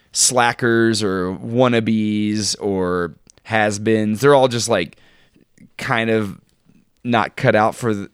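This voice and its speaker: Low-voiced man chatting casually, clean dry sound. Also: smooth, no echo. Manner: informal, halting, enthusiastic about favorites. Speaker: podcast co-host. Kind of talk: casual chat, recommending shows and books.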